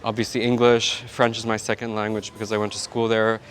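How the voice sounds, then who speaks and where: Male vlogger, casual chatty delivery, low and even-toned. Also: brisk, a little hiss or hum. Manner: chatty, rambling, self-talking. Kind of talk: casual vlog chat.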